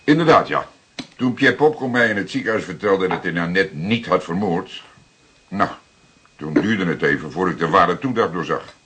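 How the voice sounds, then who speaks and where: An actor playing a seasoned older police detective in a Dutch radio drama, explaining things deliberately with dramatic pauses. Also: male voice, low voice, expressive, fairly bright tone, breathy edge, heavily acted. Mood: frank, conversational. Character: seasoned older male police detective, calm, plain-spoken storyteller.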